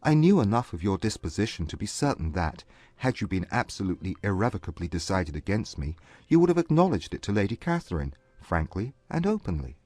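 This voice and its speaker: A man playing a proud, contrite gentleman in love, speaking with formal intensity in a dramatized classic romance audiobook. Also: fairly low pitch, sing-song, no echo. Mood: hopeful, intense. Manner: formal, intense, measured period phrasing. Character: earnest, proud gentleman suitor, contrite and lovestruck.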